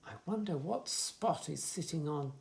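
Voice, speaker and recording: Man voicing a flustered, animated storybook dog. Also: fairly high pitch, unhurried, vocal fry. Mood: amazed. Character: flustered, patient dog looking after many unruly puppies.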